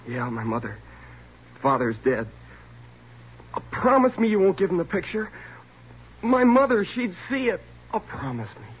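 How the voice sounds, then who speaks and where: An actor playing a frightened twenty-year-old burglary suspect in a 1940s radio drama, pleading, evasive and stammering. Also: male voice, high-pitched, very animated, moderate pace, long pauses, breathy edge, exaggerated voice. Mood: pleading, ashamed. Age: young adult. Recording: noisy, muffled 1940s radio recording.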